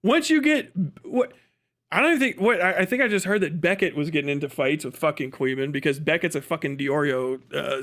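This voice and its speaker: A man's voice: fairly high pitch, a bit bright. A man giving casual livestream commentary in an emphatic, rambling, profane way. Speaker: streamer.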